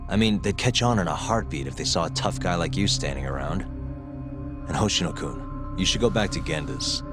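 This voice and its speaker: Casting: calm, hard-boiled game detective with a deep voice, heard over prominent music. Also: male voice, fairly quick. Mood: composed. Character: calm, tough male detective and former lawyer. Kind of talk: English video game dub acting. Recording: music behind the voice.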